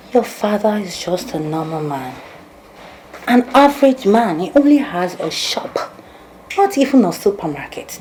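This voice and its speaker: An actress playing a domineering, sharp-tongued, self-serving girlfriend in a film drama, scolding theatrically with rhetorical questions. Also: sits low, a little hiss or hum, rough recording.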